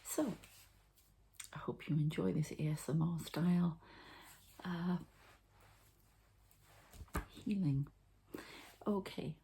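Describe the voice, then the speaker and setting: A woman hosting a whispered ASMR healing session, speaking in a soft, breathy, hesitant and meandering way. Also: low-pitched, slow pace, pauses often. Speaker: host, spiritual healer.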